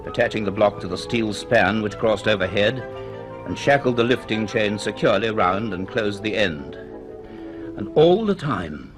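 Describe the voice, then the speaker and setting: A man giving deliberate, suspenseful scripted narration for a radio drama. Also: fairly low pitch.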